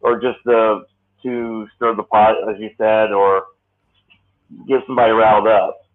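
A man in his late thirties hosting a casual podcast chat, talking in a rambling, halting way with many restarts. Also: on the low side, level tone. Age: late 30s. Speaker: podcast host. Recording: tinny phone-like line.

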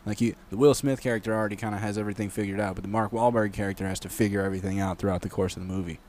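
A man's voice: lowish voice, fairly even intonation, rapid-fire, a little mellow, slight background noise. A young man co-hosting a podcast, riffing along with jokes and laughing easily. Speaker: podcast co-host. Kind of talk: casual comedic chat.

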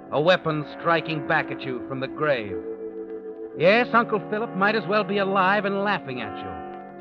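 Music plays prominently under a dull, lo-fi old radio recording of an ominous, all-knowing suspense narrator. His fairly high male voice is dramatic and insinuating, as if speaking to a guilty conscience. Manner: dramatic, insinuating, addresses listener as you.

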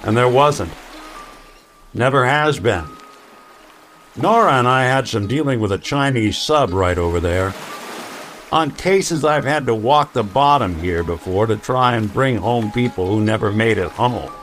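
A world-weary male detective in an old-time radio mystery. He talks in a dry, sardonic, hard-boiled way at a middling pitch. Faint music sits behind the voice. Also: lively intonation, fairly bright tone. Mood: weary, reflective. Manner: dry, hard-boiled, unhurried investigator's delivery.

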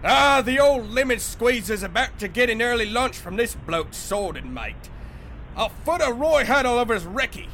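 A man voicing a jolly Cockney mate who exclaims loudly and banters in rhyming slang, with a Cockney accent. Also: very high voice, animated, normal speed, slight background noise, exaggerated voice. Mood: hearty.

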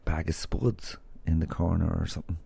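A man's voice: rumbling low, a little fast, creaky, warm tone, husky. An Irish man hosting a podcast, chatting loosely and rambling through stories before interviewing a guest. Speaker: podcast host. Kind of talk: casual rambling monologue and interview.

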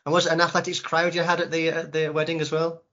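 A man's voice: a little high, flat delivery, sharp tone, muffled recording. A man hosting a podcast interview, chatty, with frequent restarts. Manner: quick, chatty, stumbles and restarts. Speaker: podcast host.

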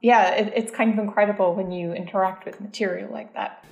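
A woman working as a dance archive curator, telling animated stories in a casual conversation and laughing often as she goes. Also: mid-range voice, fairly quick, vocal fry, roomy sound, dull audio. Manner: animated storyteller, laughs often, restarts phrases.

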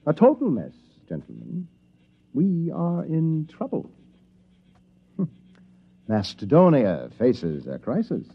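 On a muffled vintage radio recording, an easygoing, whimsical male inventor. His pitch leaps about as he muses in a wry, folksy way. The voice has a slightly husky edge. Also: huge pitch swings, slow, long pauses, exaggerated voice. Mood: wry dismay. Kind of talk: old-time radio drama acting.

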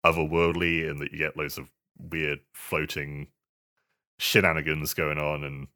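A man co-hosting a casual video game podcast, talking in a hesitant, halting way with repeated words. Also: extremely low pitch, flat delivery, sharp tone. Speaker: podcast co-host.

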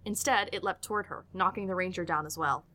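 A woman's voice: lowish voice, quick pace, sharp tone. A woman reading scripted narration for an audiodrama as its storyteller.

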